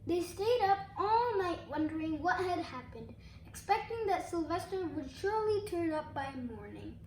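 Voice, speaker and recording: Very high-pitched child reading a storybook. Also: sing-song, moderate pace, a little mellow. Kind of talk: storybook read-aloud. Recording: echoey room, faint background noise.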